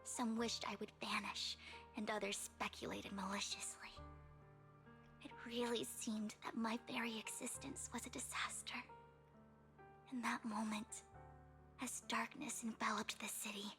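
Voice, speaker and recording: A woman voicing a gentle, devoted young woman with a sorrowful past in a video game, speaking in a soft, breathy, delicate way. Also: on the high side, level tone, unhurried. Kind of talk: anime-style video game voice acting. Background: background music.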